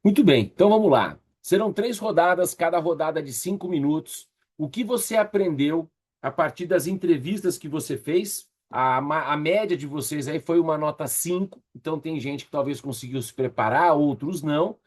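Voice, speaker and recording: A Brazilian man with a slightly husky voice teaching an online class in a conversational, encouraging way, often checking in with his listeners. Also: fairly high pitch, normal speed, darkish tone. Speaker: course instructor leading an online workshop. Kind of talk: casual interactive online teaching.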